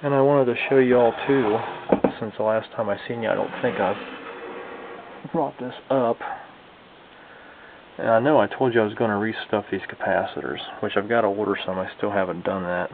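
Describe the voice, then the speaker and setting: A man's voice: fairly low pitch, steady tone, moderate pace. A man giving a casual, hands-on demonstration to viewers as a hobbyist restorer, talking informally and folksily as if thinking aloud. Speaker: hobbyist radio restorer and video host. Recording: lo-fi, phone-like band, slight background noise.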